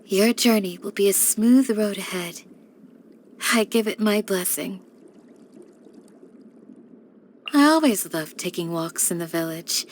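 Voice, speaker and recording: A woman voicing a calm, devoted young desert village guardian in a video game, speaking in a composed, measured, gracious way with formal phrasing. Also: medium pitch, slight background noise, lightly acted. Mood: serene, hopeful. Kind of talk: scripted video game character voice lines.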